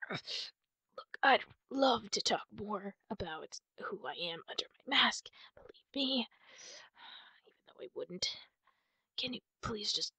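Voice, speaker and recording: A woman playing a wounded, guarded and prickly masked superheroine in an ASMR roleplay, half-whispering in a breathy, husky voice with halting, pained sighs. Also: medium pitch, animated, bright, forceful tone, dry recording, heavily acted, poor audio. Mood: defensive. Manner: halting, sighing, pained delivery.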